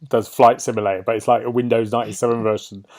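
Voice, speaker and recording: A man's voice: mid-range voice, level tone, quick pace, darkish tone. A British man hosting a podcast, chatting casually about video games and often turning statements into questions. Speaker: podcast host.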